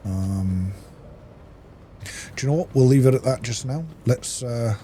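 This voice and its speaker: A man's voice: medium pitch, measured pace, warm tone, a little hiss or hum. A man giving casual gameplay commentary as a let's-play host, muttering to himself as he plays.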